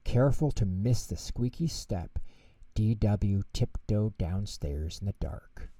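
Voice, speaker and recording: A man reading a children's bedtime story in an expressive, theatrical narration style, recorded in a roomy space from a distance. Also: lowish voice. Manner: measured, theatrical delivery with character voices. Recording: roomy echo, distant microphone.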